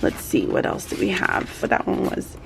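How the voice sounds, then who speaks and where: A woman's voice: normal speed, slight background noise. A young woman teacher, chatting upbeat through her school day in a classroom vlog, with plenty of fillers. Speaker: teacher vlogger.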